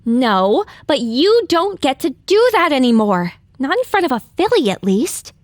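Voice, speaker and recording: A woman acts out a plucky young filly in an audio drama. Her high voice moves around a lot and sounds dry and close. She is assertive. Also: big pitch swings, a little slow, dry recording. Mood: defiant.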